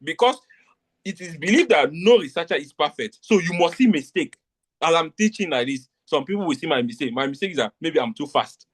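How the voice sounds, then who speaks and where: A middle-aged man from Nigeria tutoring a class in a live online lecture, repeating points and often asking whether students understand. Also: fairly high pitch. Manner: repetitive, checks understanding often.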